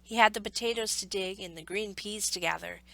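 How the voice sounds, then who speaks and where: Bright-voiced woman reading a children's story. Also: medium pitch, a little fast, vocal fry, rough recording. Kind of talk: scripted children's story narration.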